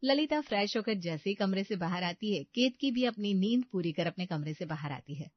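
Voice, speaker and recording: A woman's voice: mid-range voice, expressive, fairly quick, dry recording, lo-fi sound. A woman reading scripted narration of a family drama as a storyteller.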